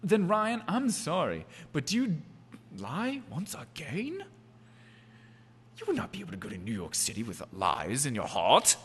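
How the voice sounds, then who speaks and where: A man voicing a pompous, melodramatic male teacher who scolds in halting, drawn-out phrases in an amateur story reading. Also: on the high side, wildly swooping pitch, big-room sound, a little hiss or hum, voice pushed up. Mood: interrogating.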